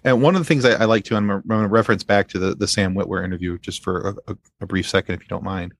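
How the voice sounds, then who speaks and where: A man's voice: low voice, fairly even intonation, very fast, no echo. A man in a casual fan discussion as a podcast guest, rambling and circling back to repeat his phrases.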